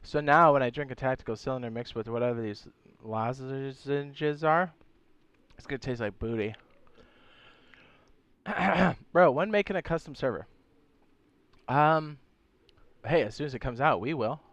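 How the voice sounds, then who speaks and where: A man streaming a game, chatting casually and reading viewer comments, hoarse with a sore throat and losing his voice, often clearing his throat. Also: mid-range voice, unhurried, long pauses, fairly bright tone, no room sound. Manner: laid-back, slangy, frequent throat clearing.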